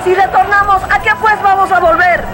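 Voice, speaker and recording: A man's voice: very high voice, little pitch movement, moderate pace. A man playing a desperate, resolute miner on a protest march in a radio drama, pleading insistently. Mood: desperate, defiant. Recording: noisy outdoor crowd and vehicle sounds.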